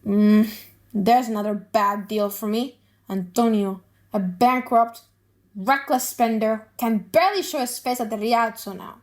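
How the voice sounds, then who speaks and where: Woman doing a bitter old moneylender guy out for revenge, high voice, emphatic stage-play delivery. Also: a little slow, natural voice. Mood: resentful. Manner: indignant, emphatic, rhetorical. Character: bitter, vengeful older male moneylender. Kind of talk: dramatic audiobook reading of a play.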